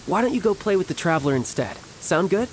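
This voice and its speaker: An actor playing a smooth, playful young harbinger in a video game, breezy, polite and theatrical. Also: male voice, sits high, very animated, fast talker, slight background noise, poor audio. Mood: gentle, reassuring. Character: smooth, playful young male harbinger posing as toy seller.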